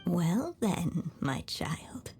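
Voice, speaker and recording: A gentle mother figure, a fading magical echo in a video game. She speaks slowly and solemnly in a lowish, tender voice, with clear music underneath. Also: sing-song, exaggerated voice. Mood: tender farewell. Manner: tender, solemn, storybook-like delivery. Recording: music behind the voice.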